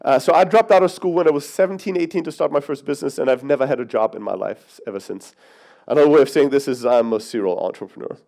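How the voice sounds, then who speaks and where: A man's voice: medium pitch, fast, creaky, darkish tone. A man in his thirties from Greece, raised in Germany, giving a brash, joking conference keynote with the odd swear. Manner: brash, profane, joking with the audience. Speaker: conference speaker. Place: conference hall.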